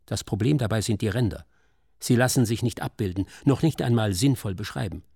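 A man reading scripted documentary narration in a sober, measured, articulate manner. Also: fairly low pitch, creaky, dark tone, slightly rough, no echo, clean studio sound.

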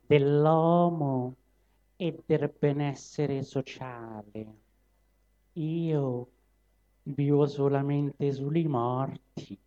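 A man voicing a grim clerical hyena who mutters low and slow with a Roman accent. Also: warm tone. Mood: grumbling. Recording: dull live recording.

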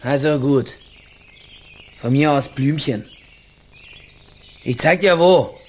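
An actor playing a gruff, impatient, guilt-ridden backwoods man in a radio drama, speaking curtly. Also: on the high side, takes it slowly, darkish tone, a little hiss or hum. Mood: gruff, irritated. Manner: curt, brusque.

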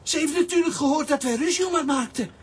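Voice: high voice, quick pace, close to an everyday voice. An actor voicing a lovesick, sentimental living coffee pot in a children's radio play, talking plaintively. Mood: pleading, distressed.

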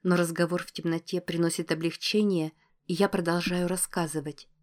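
Female audiobook narrator, first-person fiction, lowish pitch, flat intonation, scripted reading. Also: brisk.

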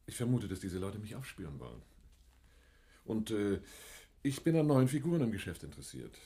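An actor in a German radio play playing a shrewd, guarded man in hiding with a husky voice. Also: on the low side, very animated, a little fast, dark tone, exaggerated voice. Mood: guarded, probing.

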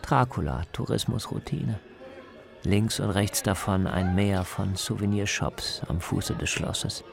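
Low gravelly man narrating a travel feature. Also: unhurried. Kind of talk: scripted radio feature narration.

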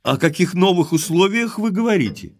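An actor playing a frank, thoughtful young gentleman heir to a castle in an audio drama. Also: sits high, animated, a little fast, a little hiss or hum. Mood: questioning, concerned.

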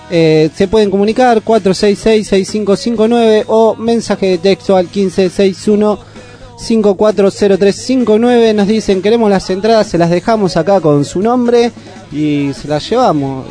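Hesitant radio host, dark voice. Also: male voice, high-pitched. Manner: hesitant, rephrasing questions. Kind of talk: casual radio interview. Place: radio studio.

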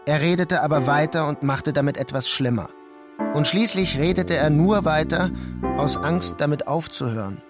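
Male narrator for literary radio play: fairly high register, deliberate delivery, prominent music bed.

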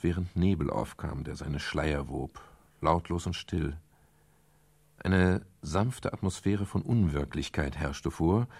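An actor playing a brooding private detective who narrates his case in a measured, melancholy, literary way in a radio crime drama, with a gravelly, husky voice. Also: deep voice, darkish tone, dry recording, exaggerated voice. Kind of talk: 1970s German radio crime drama, first-person narration.

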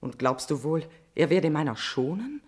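An actress playing a guilt-ridden queen in a classical tragedy, declaiming verse with a husky voice, impassioned and swinging between hush and outcry. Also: sits low, very animated, normal speed, full character voice. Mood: panicked guilt. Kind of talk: declamatory classical German stage verse acting.

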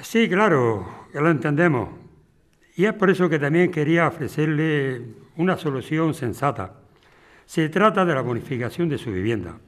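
A man with an accent from Spain playing a smooth, unyielding property company agent in an audio drama, formal, measured and condescending. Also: a little high, expressive, natural voice. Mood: smooth persuasion.

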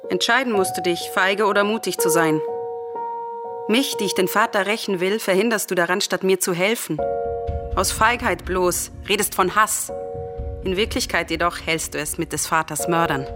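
An actress playing a grieving, vengeful, defiant princess in a classical radio tragedy, speaking in solemn, impassioned declamation. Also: female voice, mid-range voice, moderate pace, over music, natural voice. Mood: scornful.

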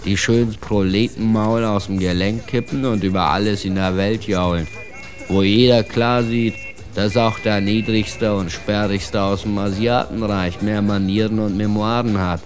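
A man's voice: fairly low pitch, steady tone, a little slow, music in the background, natural voice. An actor playing a proud immigrant man ranting with bitter contempt, in a declamatory slang tirade for a radio drama. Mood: bitter, scornful.

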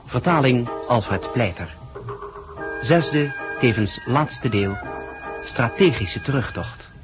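A man's voice: mid-range voice, animated, slow. A man with a Netherlands Dutch accent reading a scripted 1960s radio drama announcement. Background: music.

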